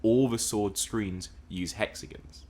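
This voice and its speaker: Low-voiced man co-hosting a podcast. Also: slow pace, a little mellow. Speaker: podcast co-host. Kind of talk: casual film and TV discussion.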